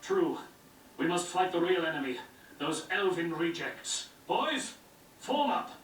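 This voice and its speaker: High-pitched, barking soldier. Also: male voice, big pitch swings, measured pace, slight background noise. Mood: commanding. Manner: commanding, blunt. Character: brusque, barking soldiers and guards. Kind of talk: video game cutscene acting. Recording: roomy distant game playback, faint music.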